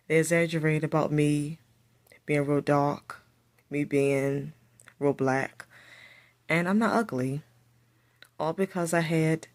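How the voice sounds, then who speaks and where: A woman who speaks with a stutter, telling a personal story to camera and repeating her phrases as she goes. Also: deep voice. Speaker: vlogger.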